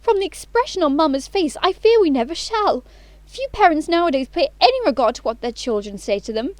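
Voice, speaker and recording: Lady acting a posh young society girl, high swooping voice, grand and bossy, very romantic, close dry sound. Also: sing-song, brisk, a little mellow, dry recording. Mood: grandly romantic. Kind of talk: theatrical comedy of manners audio play.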